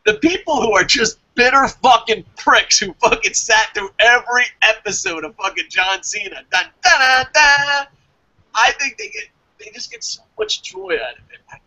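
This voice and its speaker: A man's voice: high-pitched, big pitch swings, moderate pace, sharp tone, poor audio. A man chatting casually as a review show host, opinionated and emphatic, swearing freely and rambling as he goes.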